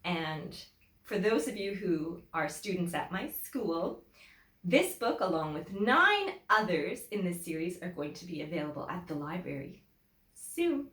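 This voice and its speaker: A woman teacher reading a children's picture book aloud in an expressive, deliberate way, with emphatic phrasing for young listeners. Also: lowish voice. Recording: echoey room.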